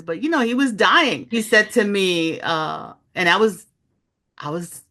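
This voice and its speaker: A woman's voice: mid-range voice, pushed and bright. A middle-aged woman from New York City, speaking as an author in a reflective interview, telling her story candidly with many "you know" asides. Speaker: author, journalist and guest.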